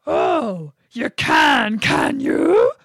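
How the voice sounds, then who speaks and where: A woman voicing a big, gruff bear who growls in a storybook tale. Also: high voice, big pitch swings, extremely slow, dry recording, clean studio sound, voice pushed up, full character voice. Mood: menacing.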